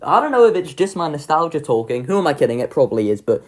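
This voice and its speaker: Animated young reviewer, very varied pitch. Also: fast. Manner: informal, swearing, enthusiastic. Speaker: video creator ranking children's pantomimes. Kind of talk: casual review ranking commentary.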